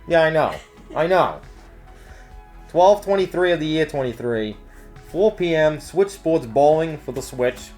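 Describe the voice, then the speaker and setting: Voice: medium pitch, animated, unhurried, long pauses, a little mellow, soft background music. A co-host of a store news show, reading out event listings with casual banter and playful joking asides.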